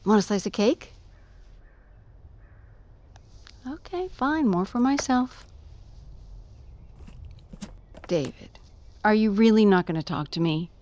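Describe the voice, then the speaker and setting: A woman playing an anxious, coaxing, protective mother in an audio drama, often dropping to a hushed tone. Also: mid-range voice, animated, moderate pace, lightly acted. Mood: coaxing, cheerful.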